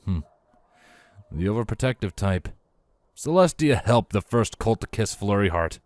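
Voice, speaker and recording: A gruff stallion captain, voiced by a man reading aloud, speaks in a low register with a deadpan, dryly mocking manner. The sound is close and dry, like a storybook told right at the mic. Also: a little slow, no echo. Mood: dry teasing.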